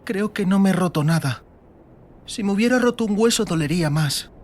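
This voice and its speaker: Audiobook role: dazed, hurt teenage girl talking to herself, pitched high, played by a male narrator. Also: animated, fairly quick, a little hiss or hum. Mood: anxious, self-reassuring.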